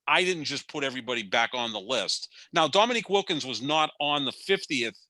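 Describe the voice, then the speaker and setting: A man's voice: mid-range voice, animated, brisk, sharp tone, dry recording. A man in his fifties co-hosting a sports podcast, giving opinionated, rambling takes in casual debate and often restarting his sentences. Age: 50s. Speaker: podcast co-host.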